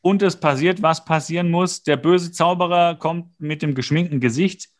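A man with a slightly high voice co-hosts a podcast. He retells a show casually and comedically, dropping in wry asides and mimicking characters as he goes. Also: moderate pace. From Hesse, Germany. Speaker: podcast co-host. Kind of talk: casual comedic episode recap.

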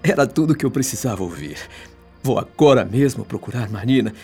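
Animated, earnest young Brazilian man. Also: mid-range voice, a little fast, breathy edge, soft background music. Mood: grateful, eager. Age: young adult. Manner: polite, earnest, emotional. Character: earnest, respectful young man in love, dutiful son. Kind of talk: radio soap opera acting.

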